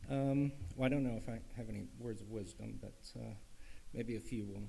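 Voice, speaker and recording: A man's voice: fairly low pitch, brisk, creaky, warm tone, a little hiss or hum. A man chairing a conference, welcoming attendees and making announcements in a friendly way with many uhs and ums. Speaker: association chair and conference host. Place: conference hall.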